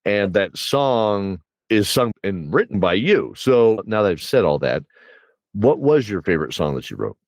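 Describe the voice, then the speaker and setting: A man's voice: fairly low pitch, very animated, normal speed, darkish tone, no echo, studio quality. A man hosting a casual podcast interview, telling game stories in a rambling way and repeating words as he thinks. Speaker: host and game master interviewing a player.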